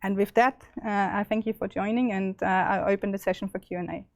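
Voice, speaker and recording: A young woman with an Austrian accent presenting a live software demo, chatty and full of fillers and restarts. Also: mid-range voice, fairly even intonation, a little fast, dry recording. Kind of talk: live tech demo walkthrough.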